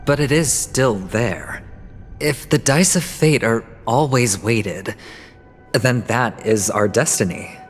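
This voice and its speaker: A man voicing a suave, cunning young gambler in a game cutscene, speaking in a deliberate, theatrical, musing way with a wistful, fatalistic air. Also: medium pitch, big-room sound, soft background music, natural voice. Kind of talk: dramatic video game cutscene acting.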